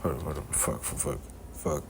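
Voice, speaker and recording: A man's voice: normal speed, noisy background. A man recording amateur voice lines, muttering short asides to himself between takes.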